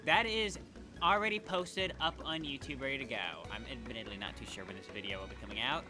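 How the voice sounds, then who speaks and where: A man's voice: medium pitch, fast talker. A man casually commentating his gameplay in a chatty, rambling way, often correcting himself mid-sentence. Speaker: let's play gamer. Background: game music.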